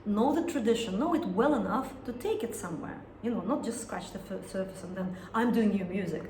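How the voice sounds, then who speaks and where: Russian-accented woman singer, reflective interview talk that pauses and restarts to find words; roomy sound. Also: medium pitch, dark tone. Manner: thoughtful, searching for words, frequent restarts. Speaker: singer and songwriter. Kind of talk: interview conversation. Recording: echoey room with some noise.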